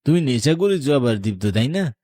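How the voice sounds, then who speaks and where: A man's voice: mid-range voice, sing-song, brisk, warm tone, dry recording. A mysterious middle-aged tea seller played by an actor from a horror cartoon, speaking a rural Bengali dialect. His mid-range voice is insistent and scolding as he gives warnings, recorded dry.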